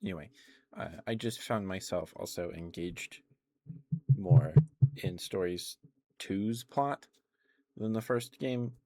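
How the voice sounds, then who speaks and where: A man's voice: sits low, slow pace, long pauses, dark tone, no room sound. A man streaming ASMR, chatting casually in a rambling, hesitant way into a close microphone. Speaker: live streamer. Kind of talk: ASMR, casual rambling chat to live viewers.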